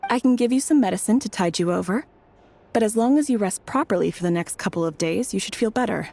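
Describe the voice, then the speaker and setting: A woman voicing a composed, polite doctor in a video game, speaking in a measured, reassuring way. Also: medium pitch, animated, gravelly, darkish tone. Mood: calm, professional. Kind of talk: video game dialogue acting.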